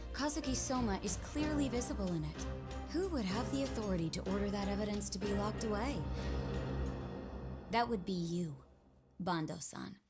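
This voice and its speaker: A woman's voice: medium pitch, close to an everyday voice. An actress playing a stern, accusatory female prosecutor in a video game, formal and pointed. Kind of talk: English video game dub, dramatic cutscene acting.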